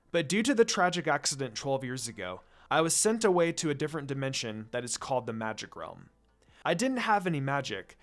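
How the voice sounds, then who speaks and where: A man's voice: medium pitch, fairly bright tone. A man voicing an earnest, devoted teenage girl wizard in a story reading, with a sincere, pleading manner.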